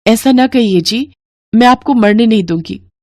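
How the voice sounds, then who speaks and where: A woman voicing a gentle, devoted young wife. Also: on the high side, normal speed, no echo, studio quality, voice pushed up. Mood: soft reassurance.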